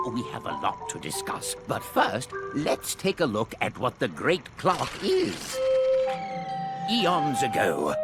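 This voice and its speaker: A bumbling old scientist hosting a welcome recording, voice high and sing-song, leaping up and down with delight. He talks unhurriedly, with fillers and little chuckles, over a clear game score. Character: old male scientist host, genial and rambling. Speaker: host of an instructional recording. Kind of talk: video game cutscene acting. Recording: game score playing behind.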